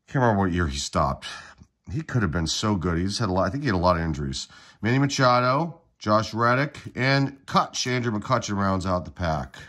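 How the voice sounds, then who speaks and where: A man's voice: on the low side, fairly quick. Congested man rattling off names. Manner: relaxed, rattles off player names. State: congested, getting over a cold. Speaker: card-collecting channel host. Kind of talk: casual pack-opening commentary. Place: indoors at a table.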